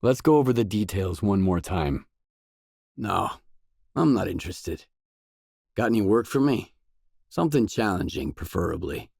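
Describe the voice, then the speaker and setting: A hard-bitten mercenary loner from a video game, a man with a low, deep voice. He is guarded and businesslike, talking in short, matter-of-fact lines. The sound is dry and close, with no room around it. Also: animated, moderate pace, gravelly, pauses often, a little mellow, slightly rough, no room sound, heavily acted. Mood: terse, composed. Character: gruff, pragmatic male stalker mercenary, guarded and businesslike. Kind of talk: video game dialogue acting.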